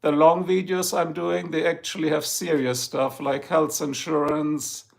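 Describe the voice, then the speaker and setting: A man's voice: a little high, flat delivery, unhurried, creaky. An older man from Germany with a German accent, hosting a rambling, jokey live stream chat from home and talking to his viewers by name. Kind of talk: casual chat. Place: home, in Ohio.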